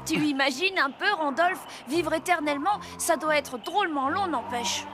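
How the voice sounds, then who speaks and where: A woman's voice: sits high, moderate pace, over music, natural voice. A woman voicing an earnest, curious young boy, polite and innocent, in a French cartoon. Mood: curious, wondering.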